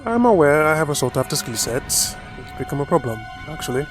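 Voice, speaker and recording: An actor playing a bitter, guarded renegade on the run, dry and terse, in a fantasy audio drama. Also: male voice, fairly high pitch, big pitch swings, fairly quick, music in the background.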